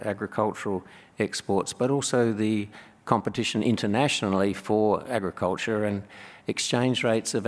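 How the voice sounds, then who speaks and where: An older man speaking on a conference panel, measured and hesitant, pausing often on fillers, into a table microphone. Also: fairly low pitch. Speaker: panellist, economics professor. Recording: long hall reverb.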